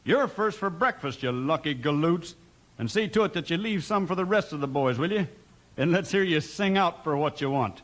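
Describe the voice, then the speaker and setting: High-pitched folksy American radio pitchman. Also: animated, normal speed, a bit bright. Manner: folksy, hearty sales pitch. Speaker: announcer delivering sponsor advert. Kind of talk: 1950s radio cowboy-themed cereal ad. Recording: old radio broadcast recording.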